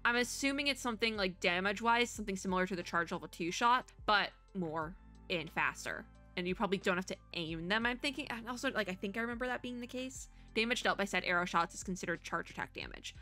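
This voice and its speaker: A woman with a bright, forward voice talks casually through a game reaction. She is animated and rambling, thinking out loud as she switches between reading and commenting. Music plays behind her voice. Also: mid-range voice, fast talker. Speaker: VTuber reaction video host.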